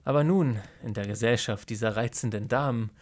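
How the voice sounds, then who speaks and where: A man's voice: medium pitch, brisk, darkish tone, natural voice. A man playing a polite, charming young apprentice gardener in a radio play, courteous and gallant. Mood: charming, friendly. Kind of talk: German radio-play acting.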